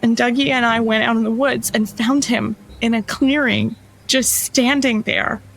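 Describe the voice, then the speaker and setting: High-voiced anxious mother in distress. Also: steady tone, gravelly, slight background noise. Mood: worried, earnest. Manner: earnest, halting, fretful. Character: distraught working mother of a missing boy, anxious and sweet. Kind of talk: immersive audio drama acting.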